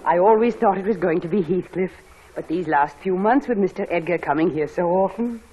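A woman's voice: lowish voice, fairly quick, slightly rough. An actress playing a fond, puzzled older servant woman in 1940s radio drama style. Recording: dull, slightly noisy old radio recording.

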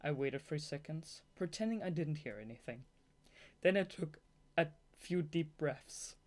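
Audiobook narrator, young adult, native German speaker, medium pitch, amateur novel reading with fannish asides. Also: lively intonation, unhurried, darkish tone. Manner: giggly, gushing fangirl asides between read passages. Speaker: audiobook reader.

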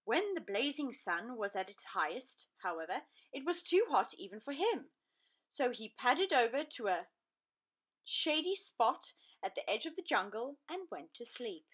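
A woman with a fairly high, bright voice reads a children's story aloud. Her delivery is expressive and deliberate, with lively rises and falls. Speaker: storybook narrator.

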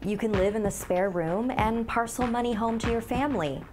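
A smooth, persuasive village baker , acted by a woman narrator speaks from a dark storybook. He seems kindly on the surface but is sly beneath, with music behind the voice. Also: a little high, moderate pace, voice pushed up, close to an everyday voice. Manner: smooth, dismissive, ominous.

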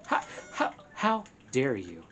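A woman's voice: rumbling low, huge pitch swings, slow pace, a little hiss or hum. A woman chatting casually while playing a video game, muttering in exasperation at it. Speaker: gamer, show co-host. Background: video game music.

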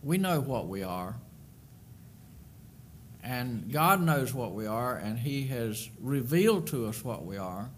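A man's voice: mid-range voice, very animated, takes it slowly, slight background noise. An older man preaching a sermon from a church pulpit, earnest and emphatic, often repeating words as he builds a point. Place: church sanctuary at a wooden pulpit. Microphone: pulpit microphone.

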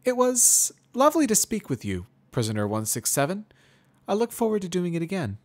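Inquisitive, proper female pony for a fantasy story, raised pitch, emphatic delivery male reader acting her out. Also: wildly swooping pitch, brisk, full character voice. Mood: polite. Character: polite, inquisitive female pony doctor-like questioner.

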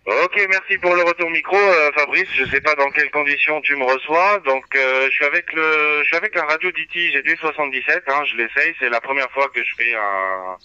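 A man's voice: on the high side, fairly quick, few pauses, sharp tone, clean tone, no room sound. A man making casual contact as an amateur operator over a two-way radio. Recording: dull, dry two-way radio transmission.

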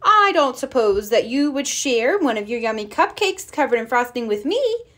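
A woman's voice: fairly high pitch, very animated, moderate pace, fairly bright tone. A woman voicing an eager, polite male rabbit with a bouncy, coaxing manner in a children's storybook. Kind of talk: children's storybook read-aloud.

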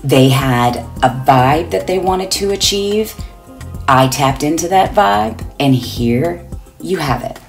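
A woman's voice: low-pitched, lively intonation, slow, vocal fry, faint music behind. A woman interior designer narrating a reveal video of a finished home in an upbeat, enthusiastic voice-over.

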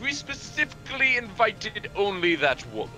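A man's voice: high-pitched, very animated, normal speed, pushed and bright, faint music behind, full character voice. Man putting on a smug villainous commander. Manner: pompous, taunting. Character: smug villainous male army commander, mocking and theatrical.

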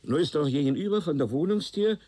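An actor playing an evasive, flustered tenant under questioning in a radio crime drama, hesitant and colloquial. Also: male voice, a little high, expressive, quick pace, mellow. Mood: chatty. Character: nervous, evasive male witness, a nosy tenant turning flustered.

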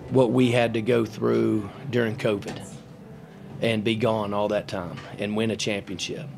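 A middle-aged man, a basketball coach, answering questions at a postgame press conference in a halting, matter-of-fact way, with many fillers and restarts, into a table microphone. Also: fairly low pitch, gravelly, slight background noise. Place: press room in front of a sponsor backdrop. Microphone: table mic on a stand.

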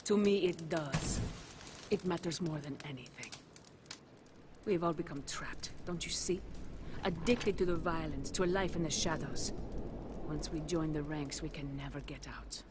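An actress playing a proud, weary, philosophical woman assassin in a video game, speaking gravely and formally. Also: low-pitched, a little slow. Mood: earnest, weary. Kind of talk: dramatic video game cutscene acting. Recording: game audio with clear background noise.

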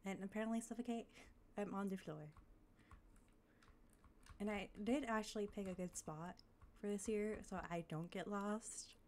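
A woman chats through a live game stream, her voice a little raspy from a coming cold. She rambles with fillers and thanks followers as they come in. Faint computer noise and keyboard clicks sit behind her. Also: mid-range voice, fairly even intonation, moderate pace, pauses often, darkish tone. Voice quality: slightly raspy. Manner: chatty, thanks followers, thinks aloud with fillers. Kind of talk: casual live-stream chat.

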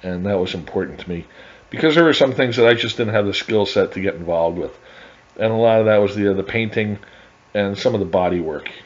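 Halting low-voiced man, dull lo-fi narration. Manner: halting, many uh fillers and restarts. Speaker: hobby car restorer narrating his project. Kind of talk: casual voice-over over photos. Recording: dull lo-fi voice-over.

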